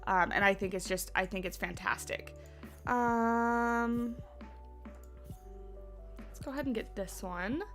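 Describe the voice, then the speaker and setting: A woman's voice: on the high side, takes it slowly, long pauses, a bit bright, faint music behind. Congested woman rambling on a livestream. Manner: rambling, many fillers and restarts, reads chat aloud. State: congested, sniffly. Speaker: livestream host. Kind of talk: casual livestream chat.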